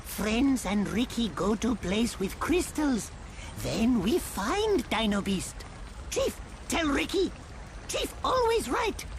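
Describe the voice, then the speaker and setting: A woman's voice: fairly high pitch, big pitch swings, slow pace, a little hiss or hum, heavily acted, low-quality recording. A woman voicing a small, furry, childlike creature hero in a video game, boastful and eager, speaking in exclaiming broken third-person sentences. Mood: confident. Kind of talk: video game cutscene acting.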